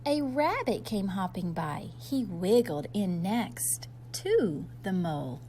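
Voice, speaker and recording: A woman reads a storybook to young children at a slow, measured pace. Her delivery is expressive and teacherly, speaking directly to her little listeners. There is some light noise behind her voice. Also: mid-range voice. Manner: expressive, addressing young listeners with simple questions and asides. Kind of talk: children's picture-book read-aloud.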